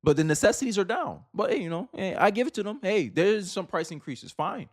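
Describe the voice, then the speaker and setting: A man's voice: sits high, lively intonation, brisk. A man hosting a commentary show, talking casually and with opinions, repeating and rephrasing his points for emphasis. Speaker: host of a political commentary show.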